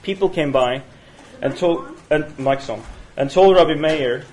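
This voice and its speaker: A man with a Norwegian accent leading a university study session, talking in a halting way with many fillers and restarts. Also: on the high side, darkish tone, slight background noise, low-quality recording. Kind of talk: university seminar lecture. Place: university room. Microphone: single room mic.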